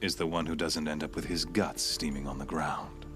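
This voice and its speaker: An actor playing a smug, fanatical cult killer in a video game, speaking in a grandiose, coldly menacing, sermon-like way. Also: male voice, a bass voice, fairly even intonation, moderate pace. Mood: cold gloating. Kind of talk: theatrical video game villain monologue. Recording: game dialogue over clear background music.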